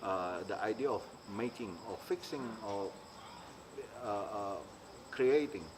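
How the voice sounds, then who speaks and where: A middle-aged man from Indonesia, speaking haltingly in an interview and often restarting as he searches for words. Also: lowish voice. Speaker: interviewee, maker. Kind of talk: casual interview talk. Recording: clear background noise, insects.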